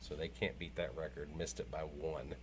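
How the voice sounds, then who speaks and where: A man's voice: extremely low pitch, steady tone, moderate pace, a little mellow, a little hiss or hum. A man co-hosting a casual sports talk show, chatting about games and standings.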